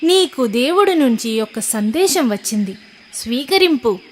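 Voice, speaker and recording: A woman's voice: fairly high pitch, expressive, measured pace, faint music behind. A woman voicing a cunning, persuasive leopard who poses piously as a divine messenger in a children's cartoon. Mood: sly, solemn. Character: cunning trapped leopard posing as God's messenger. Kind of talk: children's animated moral story.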